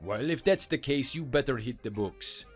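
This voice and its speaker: A man voicing a scornful, boastful French mechanic who taunts and belittles in a lower, quieter voice, with faint music behind. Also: lively intonation, normal speed, breathy edge. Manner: taunting, contemptuous.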